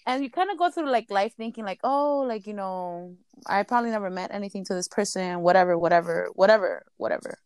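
A woman's voice: medium pitch, fast, hardly any pauses, a little mellow, clear, resonant tone, no echo. A woman co-hosting a podcast in casual chat, whose first language is Spanish, rambling nervously and stuttering now and then. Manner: rambling, nervous, laughs often. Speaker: podcast co-host.

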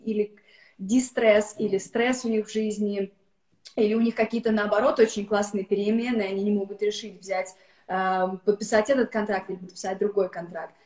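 Woman, native Russian speaker, halting speech, lots of ums, video call, echoey room. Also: medium pitch, fairly even intonation, darkish tone. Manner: halting, frequent filler sounds. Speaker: guest, therapist-coach. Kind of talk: casual interview over video call.

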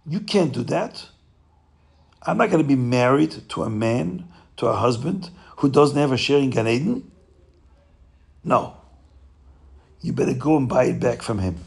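Innkeeper's wife, stern and insistent, gravelly; a man voicing her. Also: high-pitched, sing-song, a little fast, a little mellow, voice pushed up, exaggerated voice. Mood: angry, insistent.

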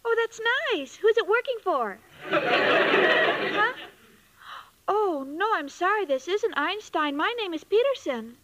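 A woman's voice: very high-pitched, expressive, unhurried, pauses often, a loud crowd. An actress playing a sweet, scatterbrained young woman with a naive, guileless way of talking, in old-time radio comedy. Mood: cheerfully clueless. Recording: slightly noisy vintage radio recording.

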